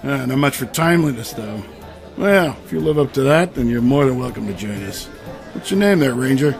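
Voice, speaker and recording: A man's voice: medium pitch, lively intonation, a little fast, vocal fry. A man playing an easygoing, wry leader of a band of adventurers, casual and welcoming, in a comic fantasy audio drama. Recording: loud music behind the voice.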